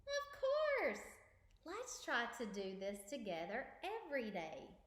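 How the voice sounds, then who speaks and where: A woman voicing a kindly, patient old grandfather in a storybook, speaking in a gentle, measured, reassuring manner. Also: on the high side, wildly swooping pitch, reverberant, exaggerated voice. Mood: warm, encouraging.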